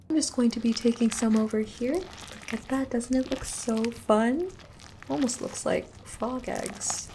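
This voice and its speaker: A woman playing a gently unsettling inhuman examiner in an ASMR horror roleplay, softly instructing and reassuring, drifting into whispers close to the microphone. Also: a little high, vocal fry, a little hiss or hum. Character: gently unsettling female entity examiner preparing a human.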